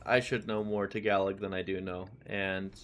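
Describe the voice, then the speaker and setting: A man's voice: low-pitched, flat delivery, moderate pace. A man streaming a video game, chatting casually with his viewers while he plays, rambling easily between game callouts. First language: English. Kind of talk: casual chat while gaming.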